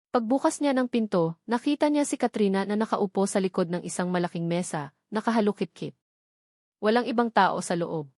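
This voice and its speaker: A woman with a Filipino accent narrates a dramatic romance from a script. Her pitch sits in the middle of the female range. The recording is close and dry, with very little room sound. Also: no echo. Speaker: story narrator.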